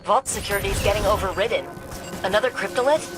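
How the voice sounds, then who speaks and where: A woman's voice: medium pitch, fast, loud music behind the voice, natural voice. An actress playing a confident, wisecracking female tech expert in a video game, talking casually and quippily. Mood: curious, quippy. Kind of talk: scripted game dialogue.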